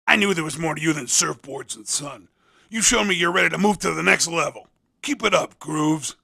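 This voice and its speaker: A man playing a cocky street racing recruiter in a video game, with a raspy voice and slangy, coaxing patter. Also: a little high, normal speed, bright, forceful tone, heavily acted. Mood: cocky, encouraging. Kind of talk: video game character dialogue.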